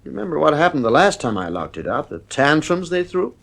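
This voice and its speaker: A man's voice: a little high, very animated, a little fast, gravelly, fairly bright tone, heavily acted. A man playing a stubborn, exasperated husband and father in a science fiction audio drama, speaking emphatically. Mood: weary, doubtful.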